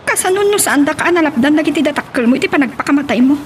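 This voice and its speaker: A young woman playing a candid, concerned confidante who gently chides, in an Ilocano radio drama. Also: high voice, lively intonation, very fast, slight background noise.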